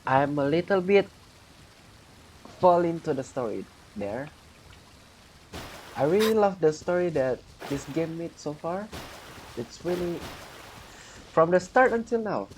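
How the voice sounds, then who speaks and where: A man giving casual game playthrough commentary in halting, repetitive non-native English. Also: fairly high pitch, lively intonation, a little mellow, poor audio. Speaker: gaming streamer. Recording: faint background noise.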